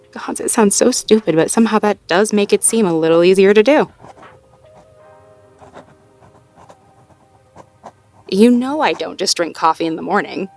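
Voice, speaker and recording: A woman's voice: mid-range voice, fairly quick, creaky, soft background music, lightly acted. A woman playing a sweet, self-doubting, playfully wry girlfriend in an intimate audio roleplay, talking casually and conversationally with a partner. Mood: amused, thoughtful. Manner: conversational, casual, with sighs and soft chuckles. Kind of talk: intimate slice-of-life audio roleplay acting, one-sided conversation.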